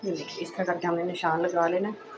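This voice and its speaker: Hoarse woman chatting through a sewing tutorial. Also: on the low side, level tone, fast, lo-fi sound, over music. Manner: chatty and reassuring, frequent "accha ji". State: hoarse, sore throat. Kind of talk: casual step-by-step sewing tutorial.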